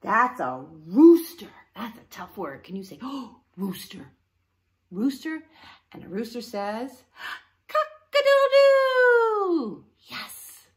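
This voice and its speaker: A woman's voice: on the high side, sing-song, slow pace. A middle-aged woman leading an interactive read-along for young children, with an exaggerated sing-song delivery. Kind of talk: interactive picture-book reading for young children. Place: living room at home, indoors.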